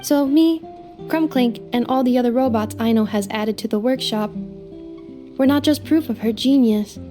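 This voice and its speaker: A woman voicing a calm, formal robot companion. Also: on the high side, over music, close to an everyday voice. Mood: earnest. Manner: measured, formal, slightly mechanical phrasing. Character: gentle female robot companion, calm and thoughtful. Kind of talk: video game voice acting.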